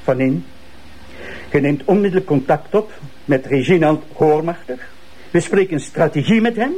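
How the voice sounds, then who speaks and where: Domineering male prosecutor, Flemish accent, high husky voice, demanding and impatient, naturalistic TV drama. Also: moderate pace, mellow. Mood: angry, urgent. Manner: pointed, demanding. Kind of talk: naturalistic television drama dialogue.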